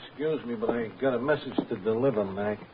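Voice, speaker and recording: A man's voice: medium pitch, quick pace, background noise, close to an everyday voice. An actor playing a belligerent, dim henchman bartender who repeats himself in slangy tough talk, in an old-time radio crime drama. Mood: menacing. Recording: tinny old radio broadcast, background music.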